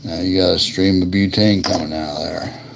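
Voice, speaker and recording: A man with a deep voice chatting casually and in a folksy, colloquial way as he hosts a hands-on demonstration of lighter repair. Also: steady tone, a little fast, noisy background. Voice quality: gravelly. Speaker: host of a lighter repair livestream.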